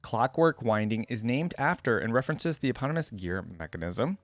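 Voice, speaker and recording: A man's voice: mid-range voice, very animated, a little fast, no room sound. A man hosting a reaction video, commenting casually and conversationally on game skins as he thinks aloud.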